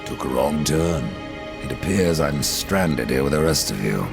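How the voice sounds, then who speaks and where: Very deep gravelly grim reaper horseman. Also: animated, a little slow, full character voice. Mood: grim, dry. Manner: curt, dry, sardonic. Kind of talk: video game character acting. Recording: loud game music behind.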